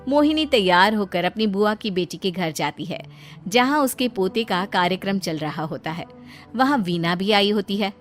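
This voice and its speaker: A woman's voice: mid-range voice, expressive, moderate pace, soft background music. A woman reading a scripted story as its narrator.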